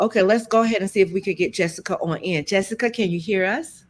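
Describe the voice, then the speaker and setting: A woman's voice: mid-range voice, fast. A woman with a scratchy voice hosting an online interview, conversational, sympathetic and encouraging. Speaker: host, interviewer. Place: remote video call.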